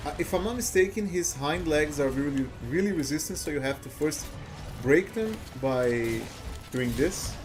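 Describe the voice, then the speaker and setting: Rambling streamer man. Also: fairly high pitch. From Brazil. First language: Portuguese. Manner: rambling and chuckly, with frequent "uh" fillers and restarts. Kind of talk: casual live-stream chat over gameplay.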